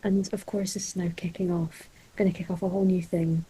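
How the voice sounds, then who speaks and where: A woman's voice: on the low side, level tone, a little fast, warm tone, slightly rough, no echo, rough recording. A nineteen-year-old Scottish woman chatting casually as a podcast co-host. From Scotland. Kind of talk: casual chat.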